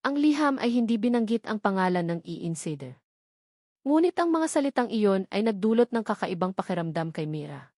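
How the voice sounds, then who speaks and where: A woman's voice: medium pitch, a little mellow, no echo, clean studio sound. A woman reading scripted, dramatic story narration as the narrator of a romance audio drama.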